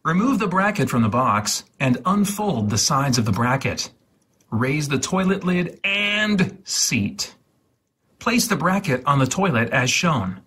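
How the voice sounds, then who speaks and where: A man reading an instruction guide aloud in a mock-serious, theatrical, deliberate way, with a husky voice. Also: on the high side, very animated, sharp tone. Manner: theatrical, deliberate, repeats words for emphasis.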